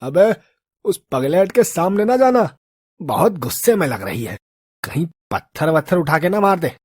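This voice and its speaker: Storybook bystander: woman playing a gossipy, scornful local man, husky, very animated pitch, colloquial. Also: normal speed, no echo, studio quality. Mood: wary. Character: gossiping, scornful townsfolk men on the street.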